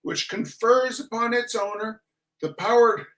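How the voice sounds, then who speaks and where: A man giving a theatrical reading of a humorous text in a mock-solemn, deliberate manner with dramatic pauses. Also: very high voice, a bit bright, rough recording. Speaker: reader of a comic essay.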